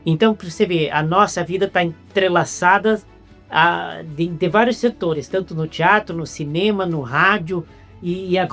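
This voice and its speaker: A woman's voice: fairly low pitch, normal speed, a bit bright, faint music behind. A woman with a Brazilian accent doing a casual, chatty interview, often repeating words as she searches for the phrase.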